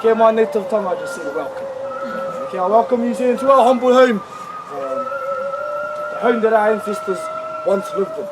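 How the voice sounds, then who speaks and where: High-voiced New guide teaching words. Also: male voice, huge pitch swings, normal speed, loud music behind the voice. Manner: instructive, leads repetition. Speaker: cultural village guide. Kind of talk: teaching visitors, call and response. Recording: noisy live recording.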